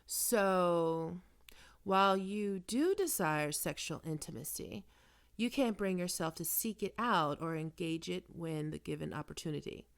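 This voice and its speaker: A woman playing a probing, smoothly manipulative psychiatrist in an ASMR roleplay, speaking in measured, deliberate phrases. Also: fairly low pitch, lively intonation. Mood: probing, insinuating. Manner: measured clinical questioning with deliberate pauses. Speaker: voice actor performing a roleplay.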